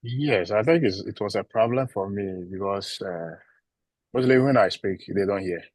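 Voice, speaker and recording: A man from Ghana with a Ghanaian English accent, giving measured interview answers about teaching. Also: fairly low pitch, dry recording, poor audio. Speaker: international PhD student and teacher.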